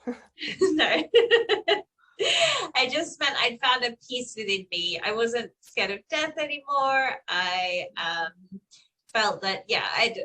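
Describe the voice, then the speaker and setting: A young woman with an Australian accent tinged by South Africa, talking in a clear, bright and forceful voice. She chats loosely and laughs often as a guest on a video call. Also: on the high side, big pitch swings, takes it slowly, low-quality recording. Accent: Australian with South African influence. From Perth, Western Australia. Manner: chatty, laughs often, frequent fillers. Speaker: interview guest. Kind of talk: casual conversational interview.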